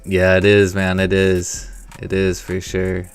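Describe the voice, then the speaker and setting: The low-voiced young American guy hosting a late-morning trading stream, slangy small talk over a music bed. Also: completely flat delivery, unhurried, smooth. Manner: casual, slangy, profane. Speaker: live stream host and trader. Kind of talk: casual chat.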